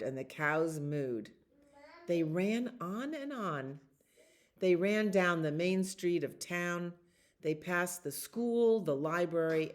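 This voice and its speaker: A woman reading a children's storybook aloud as a librarian at storytime, in an expressive, deliberate way that draws out repeated words. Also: low voice.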